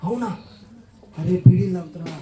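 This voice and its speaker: A man's voice: high voice, a little fast, dark tone, soft background music. A man hosting a live children's puppet show, exclaiming, questioning and echoing replies back. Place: indoor church hall. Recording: echoey hall, distant from microphone.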